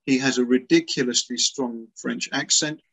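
An older man from England talks over a laptop webcam from a small room at home. His voice is a touch husky and mid-pitched. He explains with animated emphasis, often repeating his words for stress. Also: moderate pace, low-quality recording. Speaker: author and guest. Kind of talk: video call interview. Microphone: laptop webcam on a video call.

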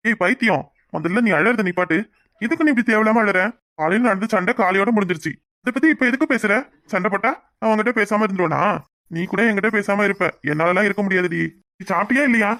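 A man's voice: high-pitched, fast talker, fairly bright tone, no echo, studio quality. A man playing an affectionate, teasing young husband in a domestic drama, coaxing, familiar and apologetic. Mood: exasperated, affectionate. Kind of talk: domestic serial drama acting.

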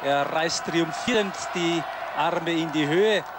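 High-pitched man, sports commentator, live TV commentary, noisy outdoor broadcast. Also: slow, over a noisy crowd. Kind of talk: live ski flying TV commentary.

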